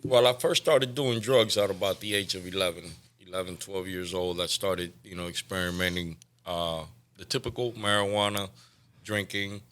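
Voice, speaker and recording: A man in his forties from Cuba, a guest on a recovery podcast, telling his life story candidly and often trailing off softly. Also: low voice, steady tone, moderate pace, slight background noise. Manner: candid, soft-spoken, trails off quietly.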